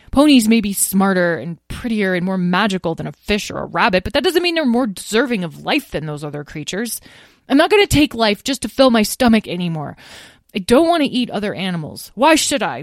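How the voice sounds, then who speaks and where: A woman's voice: medium pitch, no echo, studio quality, voice pushed up. A woman voicing a young male dragon in a children's story, sickly and stubborn, with a whiny, pleading, defensive manner.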